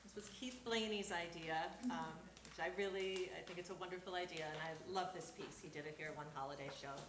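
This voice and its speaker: A woman's voice: on the low side, brisk. Woman reading aloud in a roomy, noisy venue. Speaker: reader at a live storytelling show. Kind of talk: reading a personal essay aloud to an audience. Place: small theatre stage. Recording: roomy live stage recording with background noise.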